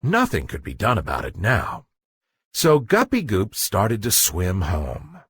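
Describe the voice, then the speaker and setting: Guy reading a bedtime story for kids, slightly rough husky voice, slow, super dramatic. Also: lowish voice, wildly swooping pitch, no echo. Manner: dramatic, builds suspense. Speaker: children's storyteller. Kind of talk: expressive scripted bedtime story narration.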